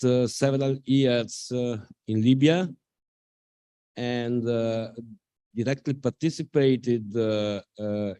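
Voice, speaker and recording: A man's voice: medium pitch, warm tone, smooth, no room sound. A man moderating a public talk, introducing a guest hesitantly, with constant fillers. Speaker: event moderator.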